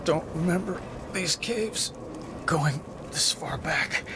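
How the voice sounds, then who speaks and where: A man's voice: on the high side, slow, noisy background. A man playing a weary, stubborn armoured hero in a video game, wry, clipped and determined, sounding strained. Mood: strained, focused. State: weakened, strained.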